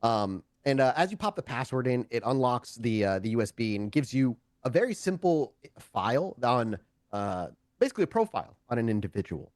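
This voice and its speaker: Halting male game master, close dry sound. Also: medium pitch, big pitch swings, dry recording. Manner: halting, conversational, self-correcting. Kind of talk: improvised narration of a tabletop roleplaying game.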